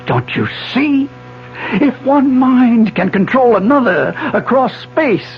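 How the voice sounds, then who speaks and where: An actor playing an obsessed elderly physics professor in a 1970s radio drama, formal, insistent and fervent, with a declamatory delivery. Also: male voice, high voice, vocal fry, heavily acted. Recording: dull 1970s radio broadcast, faint music.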